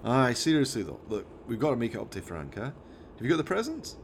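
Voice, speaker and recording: A man puts on an exasperated bloke for a radio play. He nags in sweary, colloquial phrases, his pitch leaping about with real animation. There is clear noise on the recording. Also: sing-song, fast talker. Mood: wry, chiding. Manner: colloquial, chiding, peppered with "aye" and "eh". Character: exasperated, sweary, scruffy bloke, chimp's best friend.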